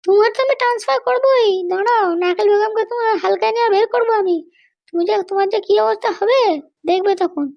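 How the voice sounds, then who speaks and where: A woman's voice: very high-pitched, fast talker, creaky, darkish tone, muffled recording. A woman voicing a haughty, threatening female political boss in a comedy cartoon, bossy and indignant with a childlike voice. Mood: vengeful. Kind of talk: Bengali comedy cartoon dialogue.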